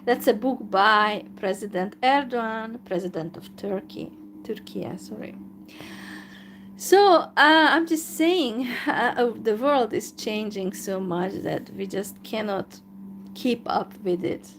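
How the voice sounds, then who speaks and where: A woman from Hungary with a Hungarian accent, talking in a live video monologue in a downcast, resigned way, full of hesitant pauses. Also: fairly high pitch, a little hiss or hum. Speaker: YouTuber.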